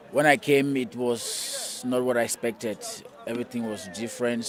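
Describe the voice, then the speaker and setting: Cameroonian man talking outside, chatty interview answers, some uhs and likes. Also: lowish voice. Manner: conversational, with frequent fillers. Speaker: interviewee, migrant. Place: outdoors in a city.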